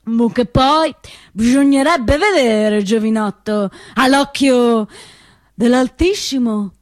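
A woman playing an unctuous, preachy old priest who scolds in a drawn-out, sanctimonious way. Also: a little high, dry recording, studio quality, pitched below the performer's own voice. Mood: sanctimonious, scolding. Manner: drawn-out, sanctimonious, repeats a filler word.

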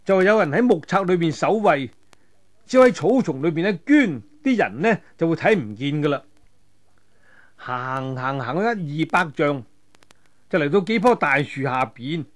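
Male traditional storyteller for martial-arts serial; higher-than-usual voice, very lively melody, folksy delivery. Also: normal speed. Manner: colloquial, animated, with spoken sound effects.

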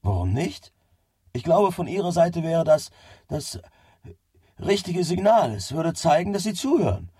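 An actor in a German radio drama playing a stubborn, excitable working man who swears and swings from muttering to exclaiming. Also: a little high, a little slow, pauses often, husky, no echo, clean studio sound, full character voice. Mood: determined.

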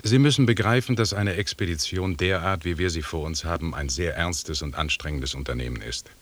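Ein Schauspieler spielt in einem Hörspiel einen selbstsicheren, pompösen englischen Expeditionsleiter, förmlich und belehrend. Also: male voice, sits low, a little fast, a bit bright. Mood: stern, lecturing. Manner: pompous, lecturing. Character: self-assured, pompous English expedition leader, a knighted gentleman. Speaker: actor in a radio drama. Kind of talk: formal radio drama dialogue.

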